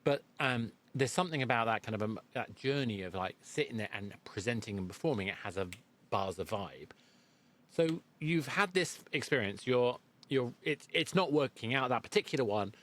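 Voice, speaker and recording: A man's voice: medium pitch, animated, moderate pace, a bit bright. A man hosting a conversational interview, circling his way into questions. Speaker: podcast host and presenter.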